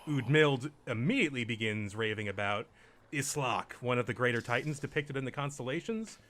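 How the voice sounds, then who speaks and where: A man's voice: mid-range voice, brisk, a bit bright. A man giving casual commentary as he plays through a video game.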